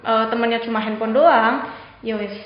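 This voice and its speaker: A woman's voice: on the high side, fairly even intonation, normal speed. A young woman from Brebes with a Banyumasan ngapak accent, chatting politely as an interview guest. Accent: Banyumasan (ngapak) Javanese. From Brebes, Central Java. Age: young adult. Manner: chatty, calls the host "Bu" politely. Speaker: guest, TikTok creator. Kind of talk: casual interview chat. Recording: roomy, slightly dull podcast audio with faint hiss.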